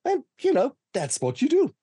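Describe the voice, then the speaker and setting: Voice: high-pitched, huge pitch swings, normal speed, mellow, no room sound, clean studio sound. An interview host speaking conversationally, informal and affirming toward the guest. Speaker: interview host, book co-author.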